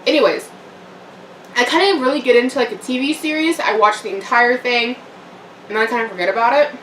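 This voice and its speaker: A woman's voice: fairly high pitch, brisk, a little hiss or hum. Chatty young woman in an echoey room. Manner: rambling, chatty, with fillers and soft laughs. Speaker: vlogger. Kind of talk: casual eating-show chat. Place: home room, eating on camera. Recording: echoey room, mic a bit far off.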